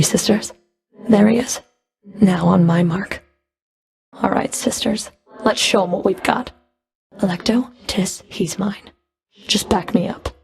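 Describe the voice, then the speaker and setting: A woman voicing a stern, sardonic underworld Fury in a video game, menacing and flirtatious, with a creaky voice and cool, clipped, commanding taunts. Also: mid-range voice, a little mellow. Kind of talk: video game character voice lines.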